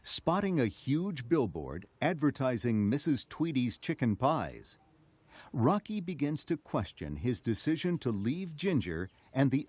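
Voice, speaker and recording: A male narrator tells a children's story with dramatic, measured delivery. He speaks slowly with a very expressive range of pitch, and music plays clearly behind him. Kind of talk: scripted children's read-along story narration.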